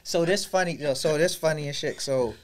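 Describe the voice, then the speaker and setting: A man's voice: on the high side, fairly quick. A man co-hosting a podcast, telling a story in casual chat and acting out the people he quotes. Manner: animated storyteller, acts out quotes. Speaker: podcast co-host.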